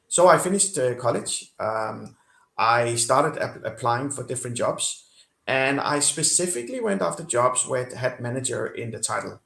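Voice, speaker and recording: A man's voice: mid-range voice, gravelly, a bit bright, reverberant. A man from Kenya with an accent shaped by Denmark, speaking earnestly as an interview guest with many fillers and restarts. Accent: Danish-influenced. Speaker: guest, company CEO. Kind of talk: interview over video call.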